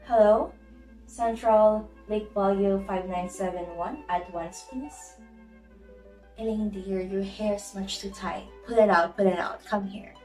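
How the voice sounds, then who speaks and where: A woman acts out an excitable society matron in an amateur one-act play. She is brisk, fretful and used to giving orders, in a mid-range voice over music. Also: medium pitch, close to an everyday voice, low-quality recording. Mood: flustered, indignant. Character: fussy, excitable, fashionable society hostess and mother. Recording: music behind the voice.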